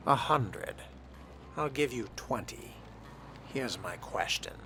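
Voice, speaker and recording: A man's voice: medium pitch, animated, slow, sharp tone, slight background noise. A man voicing a wry, curious new vampire fledgling in role-play game dialogue, dry and questioning.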